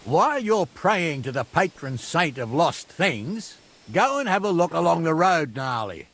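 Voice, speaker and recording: A man's voice: a little high, a little slow, exaggerated voice. An actor playing a bossy, hot-tempered medieval man in command, in a video game. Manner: imperious, animated, commanding.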